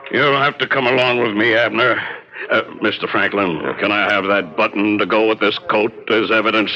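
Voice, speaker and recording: An actor playing a plain-spoken, matter-of-fact town constable in a radio drama, with blunt, folksy speech. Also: male voice, fairly low pitch, steady tone, normal speed, a crowd in the background, lightly acted. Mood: firm. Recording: dull, lo-fi radio drama recording.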